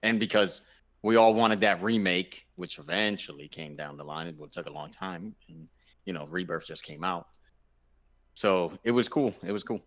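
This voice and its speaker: A man's voice: low voice, moderate pace, fairly bright tone. A man co-hosting a casual chat, giving his opinions emphatically and repeating himself for stress. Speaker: podcast co-host.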